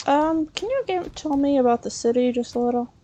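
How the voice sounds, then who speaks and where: Female let's play gamer: higher voice, improvised roleplay, chatty, hesitant, thinking aloud. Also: expressive, a little fast, dark tone. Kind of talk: in-character improvised gameplay roleplay and commentary.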